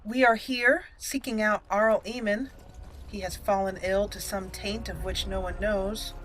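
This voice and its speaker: A woman's voice: mid-range voice, fairly even intonation, measured pace, fairly bright tone, faint music behind, low-quality recording. A woman hosting a roleplay let's play, chatty and playful, thinking aloud as she comments on the game. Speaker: YouTube gaming host.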